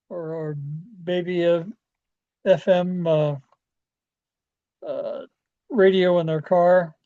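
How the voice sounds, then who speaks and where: A dull, lo-fi recording of a man with a dark, mellow voice. He gives an informal training talk in a folksy, plain-spoken way, often stalling on hesitations as he goes. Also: sits high. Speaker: amateur radio club presenter. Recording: dull, lo-fi audio.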